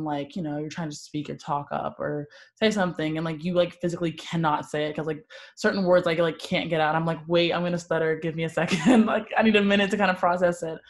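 A young woman on a video call panel who talks fast and sometimes stutters, speaking casually. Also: deep voice. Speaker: youth panelist.

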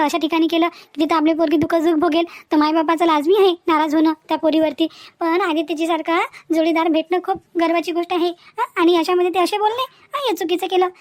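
A woman voicing an outspoken, protective young married woman in an animated family drama, emphatic and full of indignant questions. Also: very high-pitched, no echo, studio quality.

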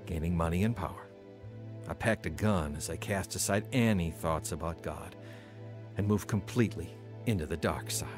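An actor playing a hardened former drug dealer, narrating his criminal past gravely in a radio drama. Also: sits low, moderate pace, close to an everyday voice. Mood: grim, confessional. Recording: music playing under the voice.

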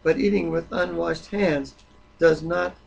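Husky, higher-voiced man, halting delivery. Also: fairly even intonation, poor audio. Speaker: Bible reader. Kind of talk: Bible reading aloud with informal discussion.

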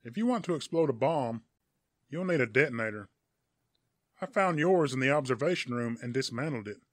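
A man's voice: medium pitch, expressive, normal speed, dry recording, natural voice. Casting: cocky grinning superhero , man acting it, audiobook dialogue, smug, dry close sound. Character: cocky quick young male superhero, grinning.

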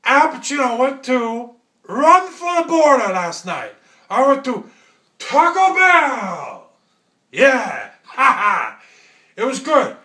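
A man impersonating a manic older American movie star with explosive, shouted delivery. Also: very high-pitched, sing-song, slow, full character voice. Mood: manic glee. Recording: roomy home recording.

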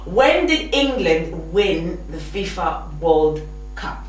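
Lively young woman hosting a talk show. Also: fairly low pitch, slow pace. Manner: playful, laughs often, animated questioning. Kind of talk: casual studio chat. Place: small TV studio set. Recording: echoey studio from far off, faint noise.

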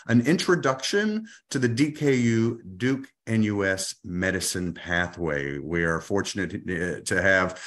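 A man's voice: deep voice, lively intonation, unhurried, few pauses, a bit bright. Upbeat man hosting online session. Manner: upbeat, with fillers and restarts. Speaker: event host. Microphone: video call.

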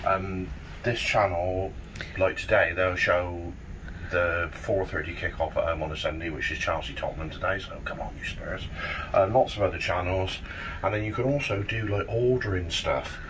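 A man's voice: sits low, steady tone, normal speed, background noise. A man in his fifties making dry, joking asides while showing a cruise cabin. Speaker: travel vlogger co-host. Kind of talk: casual chat. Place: cruise ship cabin, indoors.

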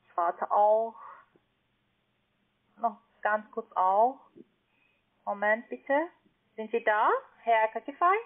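A call centre agent, a woman speaking with a foreign, non-native accent, halting and searching for words on a phone call. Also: on the high side. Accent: foreign, non-native German. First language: not German. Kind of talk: unscripted phone sales call. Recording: narrow tinny phone line.

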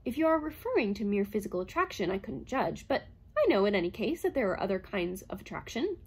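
A woman voicing an earnest, gentle male robot who reads minds, speaking in a soft, pleading and reassuring way. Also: mid-range voice, big pitch swings, quick pace, husky. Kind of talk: audiobook character reading.

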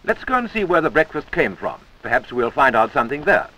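A man's voice: mid-range voice, big pitch swings, brisk, sharp tone, low-quality recording. A British man narrating a wartime-era army training film in a wry, chummy manner, on a 1940s film soundtrack.

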